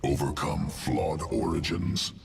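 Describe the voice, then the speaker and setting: Game monster: cold alien scientist, extremely deep, clipped clinical phrases, drenched in reverb. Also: male voice, steady tone, slow, vocal fry, a little hiss or hum, heavily acted. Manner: clipped, clinical, broken grammar. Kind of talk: video game voice acting. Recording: echoey, distant, long reverb.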